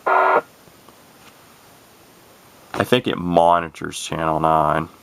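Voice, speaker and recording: A man giving a casual, unscripted radio demo in a folksy, rambling way, thinking out loud as he goes. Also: extremely low pitch, monotone, very slow, creaky, clean tone. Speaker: hobbyist demonstrating a CB radio.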